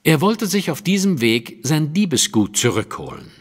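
An actor playing a shrewd, self-assured detective who explains things precisely in a German audio drama. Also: male voice, on the high side, big pitch swings, moderate pace, long reverb tail. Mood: insistent, reasoning. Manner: precise, lecturing, deductive.

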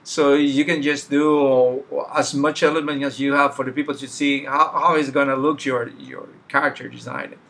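A middle-aged man whose first language is Spanish talks through a live drawing stream with a bright, forward tone. His English carries a Spanish accent, and he pauses often to hunt for words, filling the gaps with "uh" and "like." He sounds like he is at a desk in a small home room. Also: mid-range voice, little pitch movement, creaky. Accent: Spanish-speaking. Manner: halting, searching for words, many fillers. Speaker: artist streaming a drawing session. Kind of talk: casual live-stream commentary while sketching.

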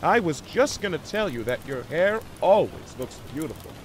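Guy doing a high swoopy cartoon beaver dude, bashful smooth talker in love, hissy noise behind. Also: sing-song, low-quality recording. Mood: flirty. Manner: halting, stammering, sweet-talking. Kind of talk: fan fiction cartoon character acting.

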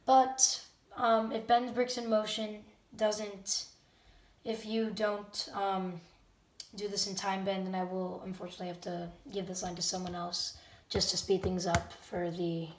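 Casual youtube host, kinda hesitant, high voice for a guy or normal for a woman, roomy and far from mic. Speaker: channel host. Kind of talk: casual unscripted talk to camera audience. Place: indoor room at home. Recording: roomy, distant microphone.

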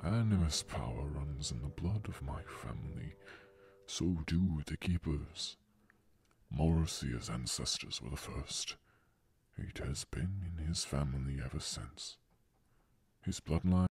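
A man playing a weary, resigned old male dragon who speaks in a heavy, halting, gravelly and breathy voice in an audio drama. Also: extremely low pitch, a little mellow, no echo, studio quality, exaggerated voice. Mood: bitter, weary.

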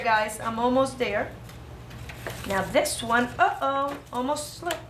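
High, very lively woman teaching preschoolers. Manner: sing-song, child-directed, exclamatory. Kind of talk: instructional craft lesson for young children. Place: indoor preschool classroom. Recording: roomy classroom echo, faint background noise.

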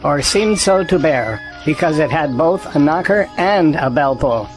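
Gentle male storybook narrator. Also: a little high, animated, unhurried, over music. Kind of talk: storybook narration for an audio drama.

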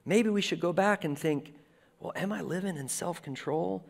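A man's voice: sits high, moderate pace, creaky. A man in his forties preaching a sermon from a church stage, in a conversational style. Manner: conversational preaching with lively gestures. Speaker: pastor. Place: church stage with a lectern and guitars. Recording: reverberant church hall.

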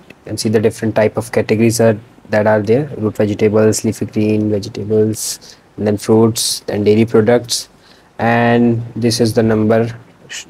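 A young man talks through a screen demo in a small room, recorded on a laptop mic. His voice sits low and a little husky, with a mellow, soft tone. He talks in a loose, unscripted way, full of restarts. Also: rough recording. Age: young adult. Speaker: student presenting a project.

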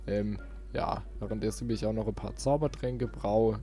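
A man's voice: fairly low pitch, fairly even intonation, moderate pace, warm tone. A man giving casual, informal let's play commentary, often muttering to himself. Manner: informal, muttering self-talk. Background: game music.

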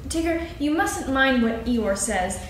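A tiny, timid young pig, as a woman voices it, very high and bright in pitch with a lively lilt. It is heard from afar in an echoey hall with noise behind. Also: unhurried. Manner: timid and polite. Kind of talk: staged reading of a children's play. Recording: echoey stage, distant mic, background noise.

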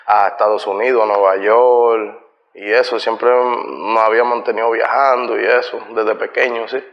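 A middle-aged man with a Puerto Rican Spanish accent, talking as a guest in a casual interview, laid-back and unhurried, recorded in a bright living room from a far camera. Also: lowish voice, steady tone. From Puerto Rico. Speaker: guest, musician. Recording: lo-fi sound with long room reverb.

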